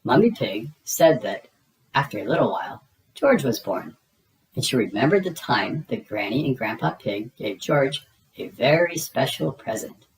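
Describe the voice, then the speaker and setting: Low-voiced lady reading a kids' storybook aloud, slow, swoopy and expressive. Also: poor audio. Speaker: read-aloud narrator. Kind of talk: children's book read-aloud.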